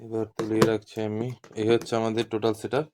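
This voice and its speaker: A man's voice: lowish voice, monotone, quick pace, very noisy. A man giving an informal hands-on tutorial as an instructor, explaining step by step and repeating himself. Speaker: instructor demonstrating walkie-talkies.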